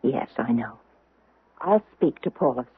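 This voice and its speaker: Intense theatrical heroine in an old radio drama, bit raspy, tinny recording. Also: female voice, a bass voice, expressive, unhurried, dry recording, full character voice. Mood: insistent. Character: bewildered, strong-willed woman lost in ancient Pompeii. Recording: muffled, band-limited old radio recording.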